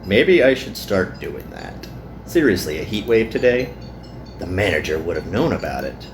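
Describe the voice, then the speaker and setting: A man's voice: deep voice, sing-song, normal speed, a little hiss or hum. A man voicing a weary, self-deprecating young male worker in a visual novel. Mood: resigned.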